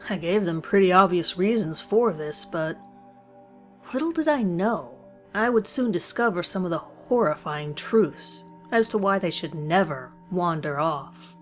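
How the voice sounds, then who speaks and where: A woman reads a scripted first-person horror story in a measured, deliberate way with dramatic pauses. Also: fairly low pitch, animated. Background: background music.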